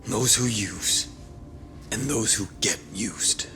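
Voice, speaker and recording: An actor playing a desperate, self-deluded young crime heir turned ruthless politician in a video game cutscene, with a strained, grandiose, halting delivery. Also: male voice, lowish voice, over music. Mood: cold, contemptuous.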